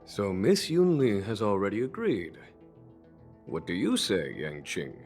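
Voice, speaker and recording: A composed, kindly general in a video game. His lowish voice is courteous yet very expressive, with faint music underneath. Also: measured pace, heavily acted. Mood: calm. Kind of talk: game cutscene voice acting.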